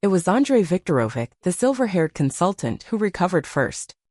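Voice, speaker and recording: A woman's voice: on the low side, sing-song, fairly quick, a little mellow, dry recording, studio quality. A woman reading a dramatic scripted story as an audiobook narrator.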